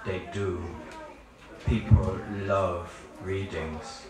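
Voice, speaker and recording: A condescending literary critic drawls his points in a slow, deadpan, patronizing tone, as a man acts him out. His voice is low, and it echoes loudly around a big hall. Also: a crowd in the background. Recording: echoey live event hall.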